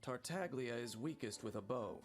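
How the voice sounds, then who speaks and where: A man reading scripted promotional narration for a video game in a measured, dramatic storytelling manner. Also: mid-range voice, expressive. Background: prominent game trailer music.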